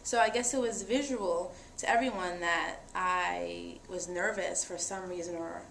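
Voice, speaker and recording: A woman's voice: fairly low pitch, fairly even intonation, unhurried, gravelly, a bit bright. A young woman talking earnestly and reflectively about herself in a conversation. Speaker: interviewee. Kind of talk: personal testimony in conversation. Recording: echoey room with faint noise.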